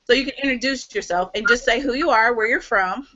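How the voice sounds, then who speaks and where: A woman leading a live sales training on a video call, energetic and chatty with many fillers. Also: fairly high pitch, fairly quick, dull audio. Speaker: team leader hosting a sales training call.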